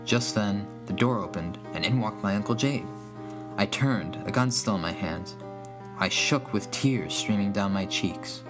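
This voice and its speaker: Man, low pitch, scripted horror narration, first-person storyteller, clear music behind. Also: measured pace.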